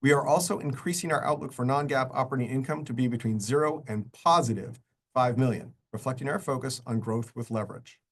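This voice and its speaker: A man with a mid-pitched voice reads a prepared corporate earnings presentation. His delivery is formal and measured, in the businesslike way of a finance executive addressing investors. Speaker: company finance executive presenting quarterly results. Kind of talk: scripted earnings-call presentation.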